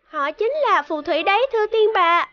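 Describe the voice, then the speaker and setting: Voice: very high voice, lively intonation, normal speed, dull audio. An actor voicing a cheerful, imaginative little girl playing a princess in a cartoon, polite and eager as she tells her tales. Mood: earnest, proud. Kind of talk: animated cartoon dialogue.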